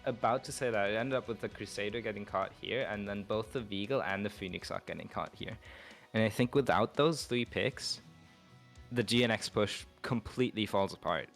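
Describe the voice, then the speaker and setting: A man's voice: fairly low pitch, a little fast, gravelly, a bit bright, faint music behind. A man giving casual esports analysis, explaining his points step by step. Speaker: guest analyst.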